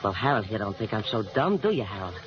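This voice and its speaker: A man's voice: lowish voice, big pitch swings, fast talker. An actor playing a nervous, menacing young gangster who taunts in tough-guy slang, in an old-time radio drama. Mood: taunting. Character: nervous, sneering twenty-one-year-old gunman, menacing and impulsive. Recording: muffled, noisy old radio recording.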